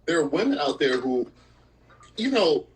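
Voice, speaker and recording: A man's voice: on the high side, sing-song, moderate pace, a little hiss or hum, low-quality recording. A male podcast host in casual, frank chat, laughing often as he talks.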